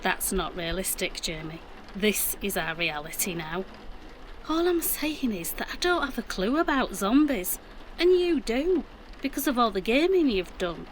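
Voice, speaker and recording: A woman's voice: mid-range voice, lively intonation, unhurried, slight background noise, lightly acted. A middle-aged woman playing a defensive, practical mum in an audio drama, with a Yorkshire accent and matter-of-fact delivery. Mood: exasperated. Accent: Yorkshire English. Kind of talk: naturalistic audio drama acting.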